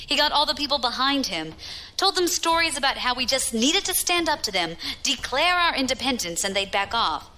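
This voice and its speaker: A woman's voice: sits high, sing-song, moderate pace, bright, forceful tone, slight background noise, heavily acted. An actress playing a battle-hardened, proud young female pirate captain with a wry storytelling manner in a science fiction audio drama. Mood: scornful.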